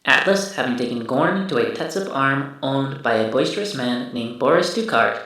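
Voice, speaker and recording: A man voicing a machine memory archive, reciting a recap in a formal, deliberate, dramatic style. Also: medium pitch, expressive, sharp tone. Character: male machine memory archive, formally recounting past adventures. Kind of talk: scripted recap narration. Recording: distant voice in heavy echo.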